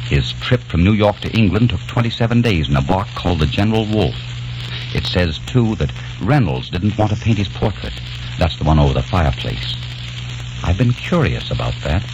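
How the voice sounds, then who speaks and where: A man's voice: low voice, lively intonation, moderate pace, noisy background. An actor playing a young American man obsessed with the past, in an old radio drama, narrating earnestly. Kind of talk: 1940s radio drama acting. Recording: dull old radio recording.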